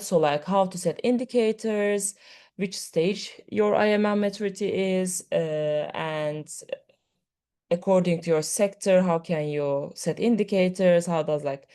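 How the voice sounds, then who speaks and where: A woman's voice: low-pitched, warm tone. A woman whose first language is Turkish, giving a conversational conference presentation with frequent fillers. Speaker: workshop presenter.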